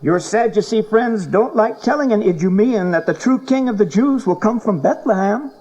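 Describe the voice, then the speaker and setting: An actor playing a bold Pharisee scholar in a dramatized audio Bible, declaiming in a pointed manner. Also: male voice, high voice, animated, normal speed, darkish tone, rough recording. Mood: defiant.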